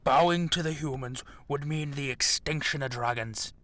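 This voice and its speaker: A man's voice: fairly high pitch, normal speed, fairly bright tone, natural voice. A man playing a proud, stern old dragon king and warlord father in an audio drama, speaking in a formal, commanding way. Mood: indignant. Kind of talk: dramatic audio roleplay acting.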